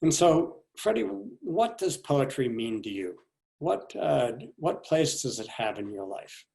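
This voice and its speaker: Gravelly older male host. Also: medium pitch, very animated, unhurried, darkish tone, rough recording. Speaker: show host. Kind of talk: casual video call interview. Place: large living room. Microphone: video call webcam.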